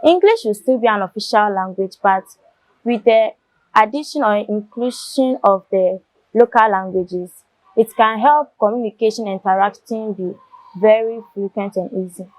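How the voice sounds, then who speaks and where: A young woman student, answering questions in a discussion in an earnest, measured way, pausing to find words. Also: medium pitch, dry recording, low-quality recording.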